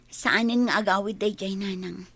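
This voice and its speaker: A woman's voice: mid-range voice, steady tone, moderate pace, vocal fry, a bit bright, husky. A woman playing a frank, resolute young single woman in a radio drama, speaking emphatically and conversationally. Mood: frank, resigned.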